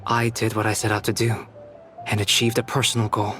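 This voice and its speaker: A man playing a calm, soft-spoken young scholar, an artificial human in a video game, speaking formally and reflectively. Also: fairly low pitch, natural voice. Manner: measured, reflective, formal diction. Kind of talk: scripted video game dialogue. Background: background music.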